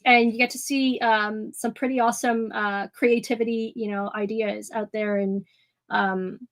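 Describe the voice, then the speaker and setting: A woman hosting an art livestream, chatting casually with viewers, rambling with lots of ums and restarts and easy laughs. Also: fairly high pitch. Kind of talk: casual chat with live chat. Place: home room. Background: soft music.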